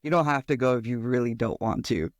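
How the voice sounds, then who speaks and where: A young man from Arizona hosting a podcast, chatting casually in a relaxed, easygoing way. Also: medium pitch, brisk, no room sound, studio quality. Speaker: podcast host. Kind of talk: casual conversation.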